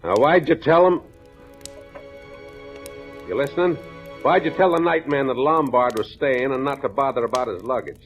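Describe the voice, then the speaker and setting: An actor playing a skeptical, bullying police captain in a 1940s radio crime drama, sardonic and gruffly commanding. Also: male voice, medium pitch, moderate pace, long pauses, lightly acted. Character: hard-boiled male police captain, skeptical, bullying interrogator. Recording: dull old radio recording with music.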